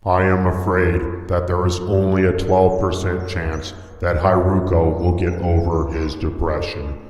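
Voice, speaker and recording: A man voicing a polite, literal-minded robot assistant with a formal, deliberate manner in a scripted game roleplay. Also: sits low, flat delivery, a little mellow. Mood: matter-of-fact. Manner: formal, deliberate, matter-of-fact. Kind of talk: scripted Minecraft roleplay acting. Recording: echoey, long reverb, music behind.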